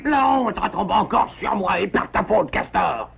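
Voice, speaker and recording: A blustering proud cartoon sore loser. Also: male voice, high voice, animated, creaky, slightly rough, muffled recording, full character voice. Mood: offended. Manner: theatrical, blustering, quick to take offense. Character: proud boastful young male character, sore loser. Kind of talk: French cartoon dubbing.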